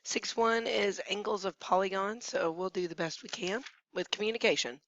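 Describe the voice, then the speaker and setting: A woman math teacher who has nearly lost her voice, hoarse and at times whispering, patiently talking through each step of a screencast lesson. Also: mid-range voice, moderate pace, fairly bright tone, no echo. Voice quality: hoarse, gravelly, sometimes dropping to a whisper. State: losing her voice. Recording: dry, dull lo-fi screencast audio.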